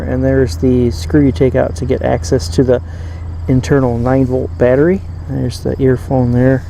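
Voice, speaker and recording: A man giving a casual, unscripted demonstration as a reviewer, pausing often and rambling a little as he goes. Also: mid-range voice, mellow. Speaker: vintage radio reviewer. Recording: clear background noise.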